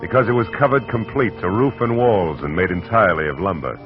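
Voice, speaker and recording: A man's voice: deep voice, brisk, over music, lightly acted. A man playing a wry, hard-boiled private eye in a 1940s radio drama, who also narrates, on a muffled old recording. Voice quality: dry, wry. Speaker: narrator and lead.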